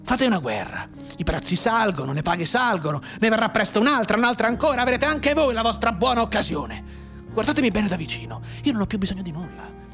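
Un attore che interpreta in un radiodramma un giovane reduce di guerra mutilato, amaro e sardonico, con tono declamatorio e appassionato. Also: male voice, high-pitched, lively intonation, a little fast. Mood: bitter, sardonic. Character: bitter, maimed young war veteran, anguished and sardonic. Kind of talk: dramatic radio theatre monologue. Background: music under the voice.

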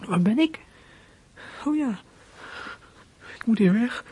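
An actor playing a sullen fourteen-year-old runaway boy in a radio play, muttering bitter thoughts to himself in a husky, breathy voice. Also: medium pitch, animated, takes it slowly, darkish tone, exaggerated voice. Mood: weary, bitter. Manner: brooding inner monologue, muttering to himself.

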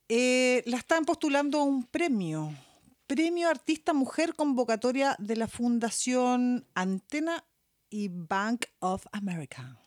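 Woman host talking slow and halting, giving out details, higher voice, dry recording. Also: no room sound. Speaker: podcast host. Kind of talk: informal spoken announcement.

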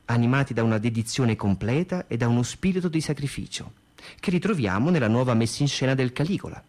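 Man narrating with lively, varied intonation. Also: mid-range voice, quick pace. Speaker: narrator reading theatre history and reviews. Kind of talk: theatrical scripted narration.

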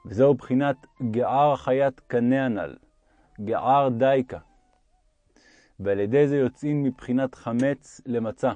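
A man's voice: medium pitch, dark tone, dry recording, highs cut off, faint music behind. A man reading a religious text aloud as an audiobook narrator.

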